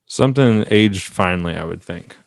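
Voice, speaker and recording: A man's voice: sits low, normal speed, gravelly, dry recording. A man co-hosting a comedy podcast, easygoing and rambling, trailing off into fillers. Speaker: podcast co-host. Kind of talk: casual podcast banter.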